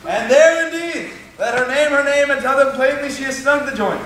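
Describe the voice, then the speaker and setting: Echoey, very high-pitched bossy man playing a amateur thespian, Bottom. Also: normal speed, fairly bright tone. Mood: pleading, theatrical. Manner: bombastic, commanding. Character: Bottom, bossy, eager weaver and amateur actor. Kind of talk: theatrical Shakespearean stage acting. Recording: echoey room, distant mic, faint noise.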